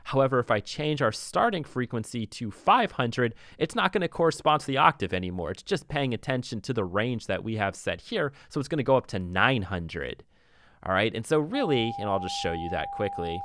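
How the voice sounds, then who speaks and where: A man narrating a casual music software tutorial as an instructor, explaining things conversationally and thinking aloud as he goes. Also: mid-range voice, animated, fairly quick.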